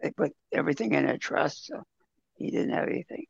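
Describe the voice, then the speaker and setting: A man with a gravelly, husky voice talking haltingly in a casual group discussion. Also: a little high, lively intonation, no room sound. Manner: halting, repeats words. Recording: dull, lo-fi audio.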